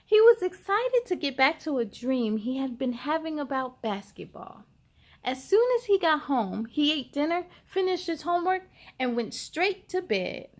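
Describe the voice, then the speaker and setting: A woman hosting a children's story time, reading aloud expressively with drawn-out words and talking directly to young listeners. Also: high voice, sing-song.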